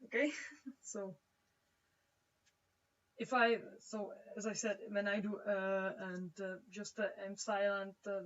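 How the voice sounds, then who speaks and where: A young woman from the Czech Republic, a native Czech speaker with a Czech accent, chats casually on a drawing livestream and haltingly searches for words. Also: medium pitch, level tone. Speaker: livestreaming comic artist. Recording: dull, distant-sounding home microphone.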